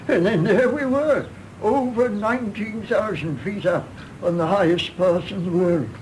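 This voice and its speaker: A frail old Englishman in a radio play, high in pitch and slow of speech. He rambles wistfully and wearily, with faint noise behind him. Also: a little mellow, rough recording. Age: older. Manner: rambling, halting, drowsy. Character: frail, reminiscing old man, weary of his failing body. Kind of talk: stage play performed as broadcast drama.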